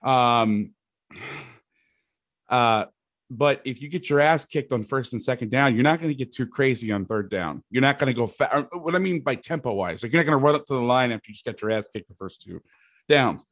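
A middle-aged man, a football coach with a loud voice, talking through defensive schemes in a rambling, casual way, often restarting his sentences. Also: mid-range voice, lively intonation, fairly quick, no room sound, studio quality. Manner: rambling, restarts sentences, occasional mild swearing. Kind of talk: casual podcast chat, explaining defensive schemes.

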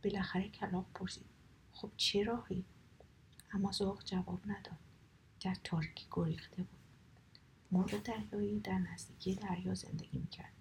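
A woman's voice: lowish voice, vocal fry, a little hiss or hum, poor audio. Woman whispering a bedtime tale. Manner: soft steady whisper. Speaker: storyteller. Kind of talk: whispered bedtime story, ASMR.

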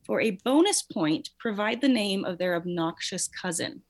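A woman's voice: mid-range voice, lively intonation, normal speed, loud music behind the voice. A woman hosting a quiz as guest quizmaster in a casual, encouraging way.

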